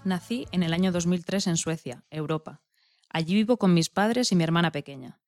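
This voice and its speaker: Lowish, dry-recorded Spanish woman. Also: fairly quick, darkish tone, dry recording, soft background music. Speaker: co-presenter. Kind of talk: scripted reading.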